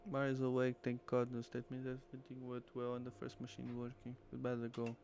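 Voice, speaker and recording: A man reads story narration aloud in a gravelly, mid-pitched voice. His delivery is halting and stumbles over words, like an amateur storyteller. There is faint noise behind him. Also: monotone, a little mellow. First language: Portuguese. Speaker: streamer reading a visual novel aloud. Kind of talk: amateur dramatic read-aloud of visual novel narration.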